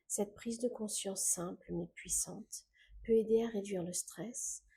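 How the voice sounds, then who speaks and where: A woman guiding a scripted meditation in a calm, gentle, unhurried way, with soft phrase endings that fade into breath. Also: medium pitch, a little hiss or hum. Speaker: meditation guide.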